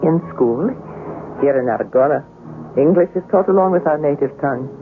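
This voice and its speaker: A bold, coquettish young foreigner. Her delivery is very animated and teasing, in old radio drama style. The sound is muffled, with music playing underneath. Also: low-pitched, brisk. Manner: coquettish, poised, playful. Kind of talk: 1970s radio drama acting.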